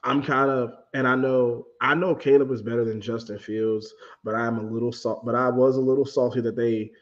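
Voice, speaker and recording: A man's voice: mid-range voice, steady tone, normal speed, highs cut off. A man in his late twenties, a guest on a casual sports podcast, blunt and laid-back, laughing often. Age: 20s.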